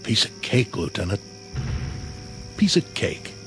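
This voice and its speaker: An actor playing a weary veteran army sergeant with a dry, sardonic drawl, in a TV drama with a loud score behind him. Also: male voice, on the low side, sing-song, slow, over music, exaggerated voice.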